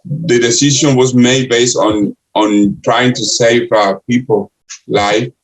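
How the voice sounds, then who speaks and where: An older man from the Republic, answering interview questions in halting English full of restarts. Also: mid-range voice, fairly even intonation, rough recording. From Dominican Republic. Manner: halting, many restarts and uh fillers. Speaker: guest, city councilor and candidate.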